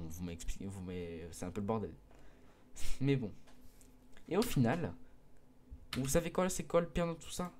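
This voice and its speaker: Hesitant teenage boy with a mellow voice. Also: on the low side. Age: mid-teens. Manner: hesitant, many fillers and restarts; earnest, pleading with viewers. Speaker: gaming video creator. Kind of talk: casual explanation to viewers.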